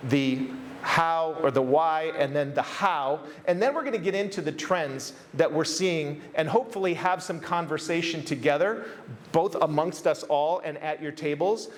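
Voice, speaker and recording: A man with an American accent giving an earnest, measured keynote talk at a conference, repeating phrases for emphasis. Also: a little high. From United States. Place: conference hall.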